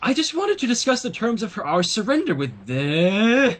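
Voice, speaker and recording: An earnest young mare princess played by a man, higher in pitch and a little husky. She speaks politely and deliberately in a storybook tale, hesitating as she schemes. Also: big pitch swings. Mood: hesitant.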